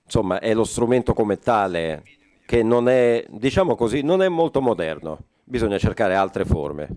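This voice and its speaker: A man interpreting a forum speech live, halting often with fillers and correcting himself mid-phrase. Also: lowish voice, big pitch swings, darkish tone. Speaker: conference interpreter.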